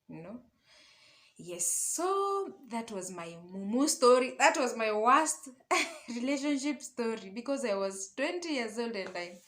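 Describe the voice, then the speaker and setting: A young adult Kenyan woman chatting casually in a personal storytime, dramatic and expressive, switching languages mid-sentence and tossing out rhetorical questions. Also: on the high side. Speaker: storytelling vlogger.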